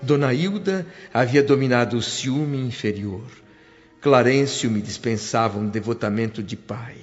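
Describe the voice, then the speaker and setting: A man playing a humble, reflective spirit who narrates a radio drama in earnest, measured phrases with a Brazilian accent. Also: mid-range voice, lightly acted. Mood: solemn, reflective. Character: reflective, humble male spirit recounting his awakening. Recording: dull audio with music behind.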